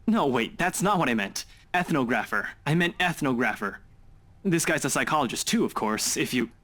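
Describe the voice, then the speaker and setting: A man's voice: medium pitch, animated, normal speed, fairly bright tone, lightly acted. An actor playing a blunt, skeptical young man in a voice-acted visual novel game. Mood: flustered. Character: blunt, skeptical young man, teasing and defensive among friends.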